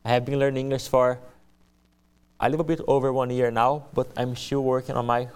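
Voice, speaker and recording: A young man with a Brazilian accent and Portuguese as his first language presents his missionary work to a church. His voice is smooth and clean at a middle pitch. He speaks deliberately, restating key phrases for emphasis. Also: level tone, darkish tone. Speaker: missionary giving a ministry presentation. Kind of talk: church testimony speech to a congregation.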